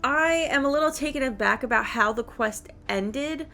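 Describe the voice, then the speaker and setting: A woman giving casual, expressive gameplay commentary, chattily reacting aloud to the game as she plays. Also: high-pitched, moderate pace. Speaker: video game streamer.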